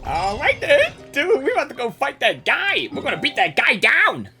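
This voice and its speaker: Game reaction streamer, bright voice with big pitch swings, excitable, repetitive phrasing, casual. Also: normal speed, vocal fry, faint music behind. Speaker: gaming streamer.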